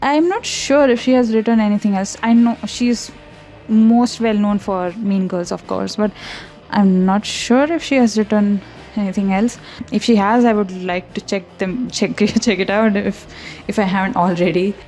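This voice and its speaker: A woman's voice: medium pitch, normal speed, darkish tone, soft background music. A young woman from India whose first language is not English, chatting loosely in a voiceover over her painting, often giggling and correcting herself. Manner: rambling, chatty, frequent giggles and self-corrections. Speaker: art channel host. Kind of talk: casual voiceover over a speedpaint.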